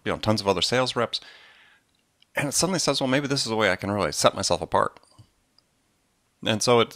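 A man with a low-pitched voice hosts a casual podcast conversation. The sound is dry, with very little room around him. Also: a bit bright, no echo. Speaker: podcast host.

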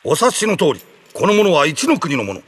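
A man's voice: a little high, wildly swooping pitch, moderate pace, slightly rough, exaggerated voice. A man playing a brash, bossy little fairy sidekick with a Kansai accent in an anime game. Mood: formal, pleading. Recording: loud music under the voice.

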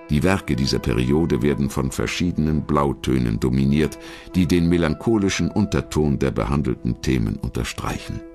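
A man reading a scripted biographical documentary narration in a measured, polished storytelling manner. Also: very deep voice, creaky.

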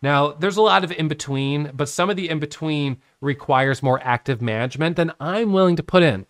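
A man in his late thirties giving a casual whiteboard lesson on trading from his home office, talking with his hands into a boom-arm studio mic. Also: fairly quick, dry recording. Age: 30s. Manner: animated, talks with his hands, drawing on a whiteboard. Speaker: trading educator. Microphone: studio microphone on a boom arm.

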